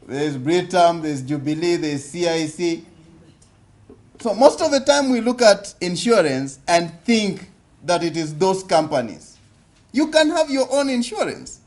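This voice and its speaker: A man's voice: sits high, very animated, unhurried, a little mellow. A Kenyan man giving an interactive seminar talk at a business forum, often asking the audience questions and repeating his points. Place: conference hall.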